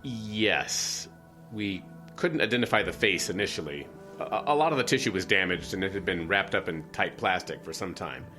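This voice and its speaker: An actor playing a weary, reluctant small-town lawman in an audio drama, speaking in a measured, hesitant, plain-spoken way. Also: deep voice, expressive, pushed and bright, faint music behind. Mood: grim, explaining.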